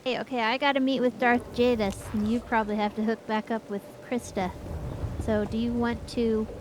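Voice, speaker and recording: Highish-voiced woman chatting over game noise. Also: level tone, moderate pace. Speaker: co-host of gaming stream. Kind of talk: casual chat while playing. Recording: clear game ambience noise behind. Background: game ambience.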